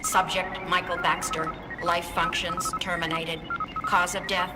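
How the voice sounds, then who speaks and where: An actress playing an impassive space base computer, reading a report in a clipped, formal manner. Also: female voice, on the low side, level tone, measured pace, loud music behind the voice, natural voice. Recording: long reverb, loud electronic sounds behind.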